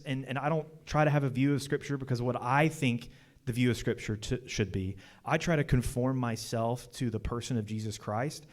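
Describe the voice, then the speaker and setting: Male panelist, average pitch, earnest off-the-cuff debating. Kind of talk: unscripted debate answer.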